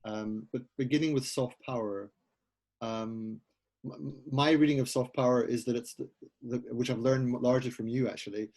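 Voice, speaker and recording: A man hosts a thoughtful conversation. His voice sits at an ordinary middle pitch, and he asks his questions tentatively, exploring ideas aloud as he goes. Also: steady tone, moderate pace, a little mellow. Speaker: host. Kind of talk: conversational interview.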